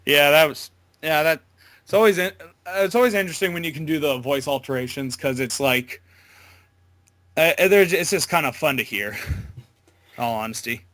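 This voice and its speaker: A man speaks casually as an interview guest, in a bright and fairly high voice. He is hesitant and informal, rambling as he explains himself. The sound is close and dry, with very little room. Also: lively intonation, moderate pace, long pauses, dry recording. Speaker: amateur voice actor being interviewed.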